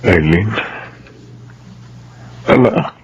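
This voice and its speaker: A man's voice: low-pitched, lively intonation, extremely slow, highs cut off, loud music behind the voice, full character voice. A man playing a solemn father, ashamed and repentant, in a Filipino radio drama. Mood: remorseful.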